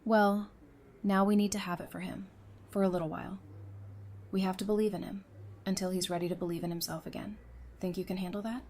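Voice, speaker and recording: A woman playing a steadfast, compassionate apocalypse survivor in an audio drama, speaking in an earnest, measured, encouraging way. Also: mid-range voice, little pitch movement, a little mellow, close to an everyday voice. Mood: quietly hopeful. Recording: clearly noisy, ambient background.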